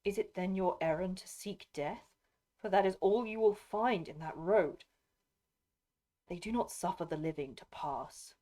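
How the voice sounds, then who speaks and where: A woman's voice: on the low side, unhurried, warm tone, lightly acted. A woman voicing a proud, bitter young noblewoman warrior in a dramatic fantasy audiobook, with fervent, formal old-fashioned phrasing. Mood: grave, dismayed. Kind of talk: dramatic audiobook character reading.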